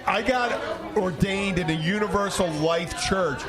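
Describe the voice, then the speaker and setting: A man hosting a casual podcast chat, talking in a halting, bantering way and often repeating himself. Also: high-pitched, over loud music. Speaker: podcast host. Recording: crowded, noisy public room chatter.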